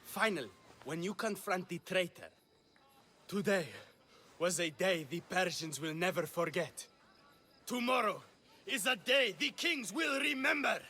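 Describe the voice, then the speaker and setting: A pompous man of the stage for a game cutscene, with a high, bright voice. He declaims floridly and swoops across his range, vain and theatrical. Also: expressive, unhurried, exaggerated voice. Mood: self-assured. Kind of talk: video game cutscene acting.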